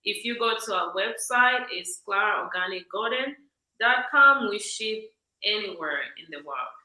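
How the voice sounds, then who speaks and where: Bright woman from Zambia, echoey room. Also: mid-range voice, expressive, normal speed. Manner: short, hesitant answers. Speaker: guest, entrepreneur. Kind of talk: casual interview answers.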